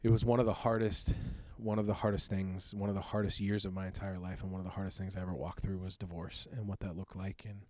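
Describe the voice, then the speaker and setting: Earnest low-voiced man in his thirties. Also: flat delivery, a little mellow, no room sound. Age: 30s. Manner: earnest, reflective, halting speech. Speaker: youth pastor sharing his testimony. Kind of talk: personal testimony in a conversation.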